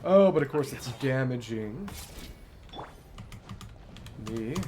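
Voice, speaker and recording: A man's voice: mid-range voice, expressive, takes it slowly, background noise. A man giving casual gameplay commentary as a streamer, thinking aloud and reading game text out as he plays. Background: game music.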